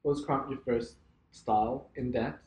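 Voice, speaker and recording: A man co-hosting a podcast, lively and joking, quick with reactions. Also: mid-range voice, slight background noise. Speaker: podcast co-host. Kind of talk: casual interview chat. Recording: roomy echo.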